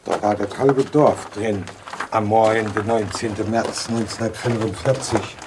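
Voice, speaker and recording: Male actor as elderly villager in radio drama, speech, colloquial and halting, clear noise behind. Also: on the low side, steady tone, close to an everyday voice. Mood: plain recollection. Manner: plain, halting, colloquial storytelling. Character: old village man recalling wartime hardship. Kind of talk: radio play eyewitness recollection.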